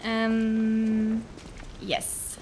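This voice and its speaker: A woman's voice: on the high side, completely flat delivery, extremely slow, darkish tone, slight background noise. A young Swiss woman with a Swiss German accent, live-streaming a game with chatty, self-mocking commentary and English exclamations thrown in. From Switzerland.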